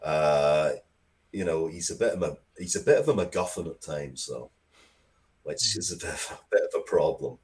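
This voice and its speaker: A man with a very low voice talks casually as a guest writer in an interview. He rambles in a conversational, blunt way, spinning out explanations of his own creative work. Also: moderate pace, a little mellow. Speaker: interviewed writer of comics and stories.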